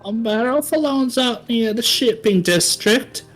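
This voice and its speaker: A game master narrating a tabletop game, relaxed and descriptive. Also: female voice, fairly high pitch, a little slow, soft background music, rough recording. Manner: relaxed, descriptive, a few fillers.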